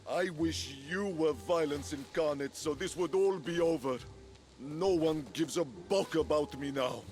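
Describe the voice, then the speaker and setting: An actor voicing a sulky, self-pitying war god trapped in a chicken's body, melodramatic and bitterly sarcastic, in a video game cutscene. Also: male voice, a little high, unhurried, a little mellow, faint music behind, lightly acted. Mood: despairing, bitter.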